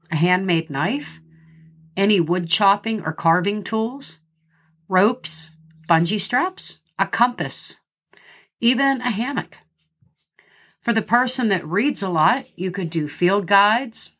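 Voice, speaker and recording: A middle-aged woman hosting her podcast, a little nasal and congested from a cold, talking in a friendly way and reading out lists with pauses between the items. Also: lowish voice, fairly bright tone. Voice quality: slightly nasal. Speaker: podcast host. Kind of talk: scripted podcast monologue.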